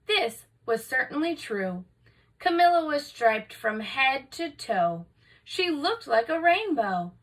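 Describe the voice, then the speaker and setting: A woman reading a children's picture book aloud in an expressive, dramatic storytelling manner. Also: high-pitched, takes it slowly, recorded from a distance, low-quality recording. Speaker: storybook reader.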